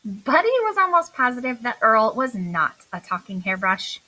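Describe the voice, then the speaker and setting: Bright, animated woman reading a story. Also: fairly high pitch, low-quality recording. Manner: expressive, deliberate storytelling delivery. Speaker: storybook reader. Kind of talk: scripted children's story narration read aloud.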